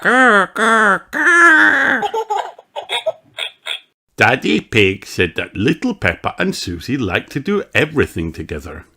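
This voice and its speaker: A man reading a children's story aloud in an animated, unhurried storytelling manner. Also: fairly low pitch, slightly rough. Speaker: storybook reader.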